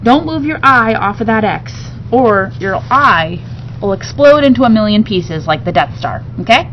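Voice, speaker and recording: Storybook male med tech read by a woman, instructive and joking, deadpan delivery; slightly noisy, lo-fi sound. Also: a little high, normal speed. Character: joking, brisk male radiation technician giving instructions. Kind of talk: children's novel read aloud.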